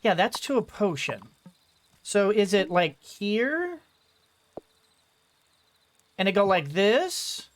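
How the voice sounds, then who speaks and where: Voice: medium pitch, very animated, slow, pauses often. A game streamer giving casual commentary, rambling and thinking aloud while playing.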